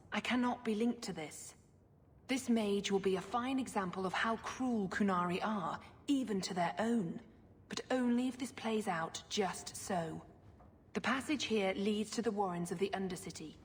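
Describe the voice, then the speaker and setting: An actress playing a pious, scheming middle-aged sister in a video game, speaking in measured, formal phrases. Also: female voice, mid-range voice, natural voice. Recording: long hall reverb, clear background noise.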